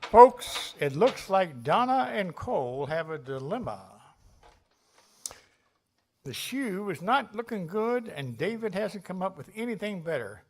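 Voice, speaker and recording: A man performs a showy fairy-tale host for a stage parody. The voice sits high and swings widely in pitch, announcing every turn with theatrical emphasis. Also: big pitch swings, a little slow, heavily acted. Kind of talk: theatrical scripted narration.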